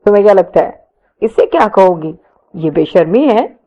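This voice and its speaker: A woman's voice: mid-range voice, animated, moderate pace, lo-fi sound, lightly acted. Woman acting a teasing, mischievous young man. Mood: mocking. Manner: taunting, amused.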